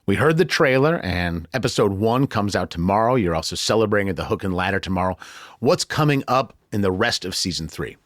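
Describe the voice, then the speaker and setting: A man's voice: lowish voice, expressive, a little fast, no echo, clean studio sound. An American man hosting a podcast interview in a conversational style. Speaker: podcast host.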